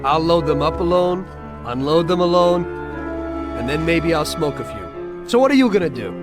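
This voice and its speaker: An actor playing a cautious, skeptical American mobster in a video game, blunt and sardonic. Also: male voice, on the high side, expressive, moderate pace, music in the background, close to an everyday voice. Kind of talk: video game cutscene acting.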